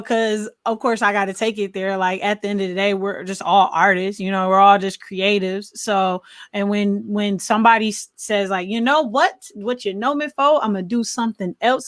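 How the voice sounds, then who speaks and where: A woman co-hosting a podcast, talking through films casually in an animated, rambling, think-aloud way. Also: mid-range voice, no room sound, studio quality. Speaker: podcast co-host. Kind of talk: casual film discussion.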